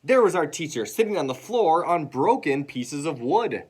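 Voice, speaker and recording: A man reading a children's story aloud in an expressive way, acting out sounds and dialogue. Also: on the high side, moderate pace, slightly rough.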